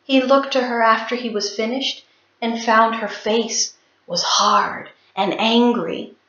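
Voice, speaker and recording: Slow, expressive woman storyteller. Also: on the high side. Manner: deliberate, expressive, suspenseful. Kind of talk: dramatic folk tale storytelling performance. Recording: roomy, slightly dull sound.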